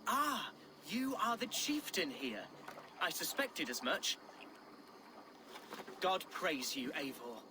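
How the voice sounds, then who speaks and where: An earnest young noble in a video game, florid and apologetic. His voice is light and high, leaping with excitable eagerness. Clear ambient noise sits behind him. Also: slow pace, long pauses. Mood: hopeful, grateful. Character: earnest young exiled nobleman, meek amateur poet, eager and flustered. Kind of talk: scripted video game drama acting.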